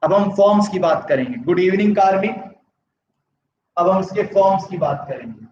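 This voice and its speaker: High-voiced teacher with a sore throat. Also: male voice, animated, moderate pace, darkish tone, a little hiss or hum. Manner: mixes Hindi with English terms, asks students yes-or-no questions. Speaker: economics teacher. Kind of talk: live online class lecture. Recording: echoey, distant, slightly dull.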